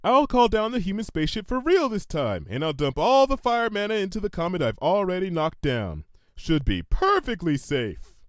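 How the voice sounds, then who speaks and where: A man's voice: high voice, wildly swooping pitch, moderate pace, voice pushed up. A man voicing a brash, oblivious and gleefully reckless female pony in a cartoon fan story. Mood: breezy, confident. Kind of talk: animated fan fiction character reading.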